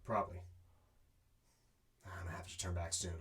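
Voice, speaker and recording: A man's voice: deep voice, level tone, moderate pace, very noisy, lightly acted. A man playing a weary, wry desert courier who narrates his own adventure in an audio drama. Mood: wry, reflective. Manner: wry, self-talking. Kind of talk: first-person narration and amateur audio drama acting.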